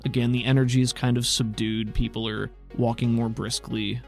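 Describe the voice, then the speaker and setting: Male game master, mid-pitched with a gravelly fry, descriptive and conversational, music underneath. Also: little pitch movement, moderate pace. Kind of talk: improvised tabletop game narration.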